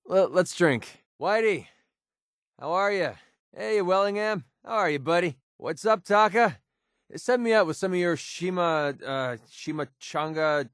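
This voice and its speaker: An actor playing a wry, world-weary young mercenary in a video game, with an American accent and a casual, sarcastic way of talking. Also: male voice, medium pitch, animated, moderate pace, no room sound, studio quality, close to an everyday voice. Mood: friendly, upbeat. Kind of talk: video game character voice lines.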